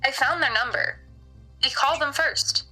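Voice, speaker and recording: A woman plays a pragmatic occult researcher in an audio drama. Her voice is bright and fairly high, with a measured, unflappable delivery. Soft music runs behind her. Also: full character voice, poor audio. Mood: firm, pragmatic. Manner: measured, deliberate, matter-of-fact. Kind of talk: naturalistic scripted audio drama.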